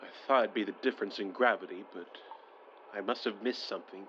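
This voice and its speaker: A man's voice: on the low side, fast, natural voice. An actor playing a shaken but stubbornly hopeful male survivor in an audio drama, urgent and blunt. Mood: urgent resolve. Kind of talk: modern audio drama acting. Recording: muffled, noisy handheld field recorder.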